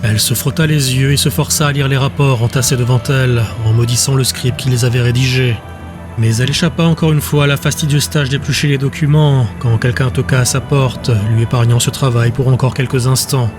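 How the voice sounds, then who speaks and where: A man's voice: mid-range voice, fairly even intonation, a little fast, music in the background. A man giving scripted narration for a fantasy audiobook.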